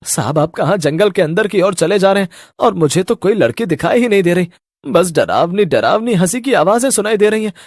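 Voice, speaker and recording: A man's voice: high voice, animated, a little fast, dry recording, clean studio sound. A man voicing a timid, fearful police constable in a horror cartoon, anxious and pleading. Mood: nervous, wary. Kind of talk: Hindi horror cartoon voice acting.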